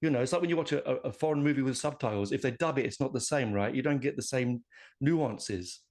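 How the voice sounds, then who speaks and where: A man's voice: medium pitch, fast, a little mellow. A man hosting a podcast interview in a casual, enthusiastic and effusive chat style.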